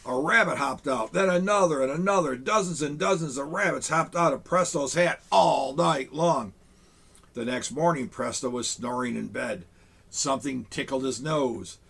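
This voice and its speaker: Gravelly man reading a children's book. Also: medium pitch, normal speed. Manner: warm, chatty grandfatherly asides to children. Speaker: storyteller in a Santa persona. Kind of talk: children's book read-aloud. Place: small studio set, indoors.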